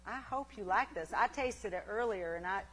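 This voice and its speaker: An actress playing a folksy, chatty cooking-show host who rambles homespun and cheerful. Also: female voice, medium pitch, normal speed, a bit bright, slight background noise, lightly acted. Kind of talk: comic cooking segment.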